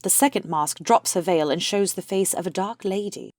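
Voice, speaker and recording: Lower-voiced woman narrator, dry close sound. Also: normal speed, a little mellow, no room sound. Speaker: narrator reading stage directions. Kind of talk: scripted narration of a play.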